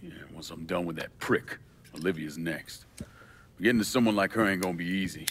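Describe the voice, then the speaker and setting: An actor playing a grim, vengeful young gangster in a video game, blunt and terse. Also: male voice, sits low, a little fast, fairly bright tone, husky. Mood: grim, determined. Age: young adult. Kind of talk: dramatic video game acting.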